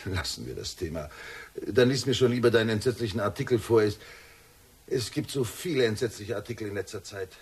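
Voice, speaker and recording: An actor playing a flustered, evasive middle-aged husband in a radio drama. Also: male voice, lowish voice, lively intonation, brisk, a little mellow, a little hiss or hum, close to an everyday voice. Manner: apologetic, evasive.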